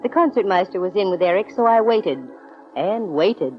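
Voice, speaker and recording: An actress playing a brisk, wry young American private detective in 1940s radio drama style. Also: female voice, medium pitch, expressive, soft background music, natural voice. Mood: wry narrating. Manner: wry, breezy, hard-boiled. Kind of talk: 1940s radio acting, first-person detective narration. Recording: dull, band-limited old radio recording.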